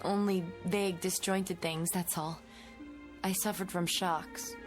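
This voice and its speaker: An actress playing a polite, troubled schoolteacher, speaking in a halting, guarded way in a mid-century TV drama. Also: female voice, on the low side, fairly even intonation, natural voice. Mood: troubled, hesitant. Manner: hesitant, halting, courteous. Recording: vintage TV soundtrack with music behind.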